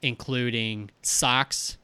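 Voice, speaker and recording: A man's voice: mid-range voice, fairly even intonation, drawn-out words, sharp tone, clear, resonant tone, no room sound. A man hosting a podcast, reading an upbeat intro and then trading jokes with his co-host in casual banter.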